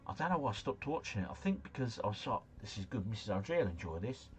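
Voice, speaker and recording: A man's voice: fairly low pitch, very animated, fast, a little hiss or hum. Chatty man hosting a quiz stream. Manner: chatty, jokey, reads chat messages aloud, mumbles foreign names. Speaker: livestream host. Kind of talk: casual livestream chat playing a quiz game.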